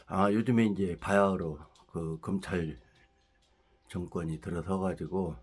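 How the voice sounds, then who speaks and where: A middle-aged man talks through a head cold, his voice stuffy and nasal. His pitch sits a little low, and he rambles in a casual monologue, firing off rhetorical questions as he heats up. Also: fairly even intonation, a little slow, darkish tone, breathy edge. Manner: ranting, rising heated at points with rhetorical questions. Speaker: political commentary channel host.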